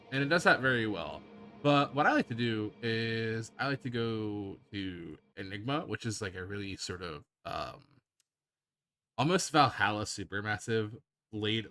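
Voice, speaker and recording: A man hosting a casual software tutorial, talking in an improvised, rambling and hesitant way. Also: fairly low pitch. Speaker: tutorial host.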